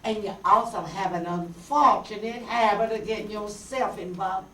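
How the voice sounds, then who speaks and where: A woman's voice: medium pitch, normal speed, fairly bright tone, lightly acted. A woman acting a coy, scheming Southern blonde femme fatale, coaxing and sugary, in an old radio noir play. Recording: echoey room, distant mic, background noise.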